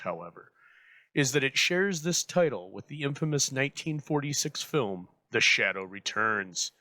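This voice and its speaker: A man hosting with measured, formal scripted commentary on vintage radio drama. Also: on the low side, pushed and bright, no echo, rough recording. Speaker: host and commentator.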